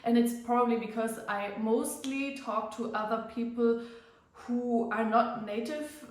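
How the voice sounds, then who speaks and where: A woman with a noticeable German accent talks casually to her viewers, her voice sitting a little high. She chats along with plenty of fillers and a slightly self-conscious manner. The room around her rings with heavy echo. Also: measured pace. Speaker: fitness vlogger. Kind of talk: casual vlog to camera. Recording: very echoey room.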